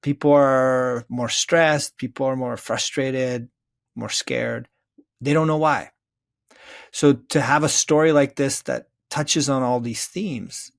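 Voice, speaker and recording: A man's voice: medium pitch, gravelly. A middle-aged man talking reflectively as a podcast guest, earnest, building his points in emphatic, repeated phrases. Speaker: guest, children's story author. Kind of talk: reflective podcast interview conversation.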